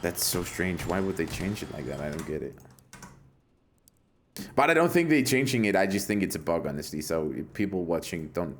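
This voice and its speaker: A man's voice: deep voice, very animated, normal speed, a little hiss or hum. A man from Denmark with a Danish accent, hosting a live game stream in a brash, profane, joking way. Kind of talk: casual live-stream chat.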